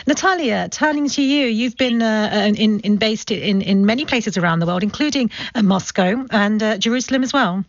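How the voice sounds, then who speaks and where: A woman's voice: medium pitch, moderate pace, few pauses, no echo. Woman radio presenter, dry slightly dull sound. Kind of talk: live broadcast hosting and interviewing. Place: radio studio. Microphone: studio microphone. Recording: slightly dull, band-limited broadcast audio.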